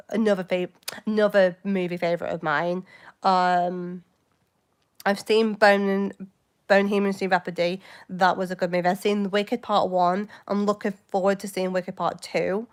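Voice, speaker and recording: A woman's voice: on the low side, clean tone. A woman, chatting casually and rambling to viewers on a livestream, full of hesitations and jumps between topics. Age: 20s. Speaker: livestream host. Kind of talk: casual live chat with viewers.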